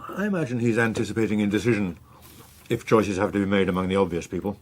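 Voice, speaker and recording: An actor playing a suave veteran politician in a radio drama, urbane, measured and drily understated. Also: male voice, on the low side, lightly acted. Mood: dry, calculating. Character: suave, unflappable veteran politician and chief whip.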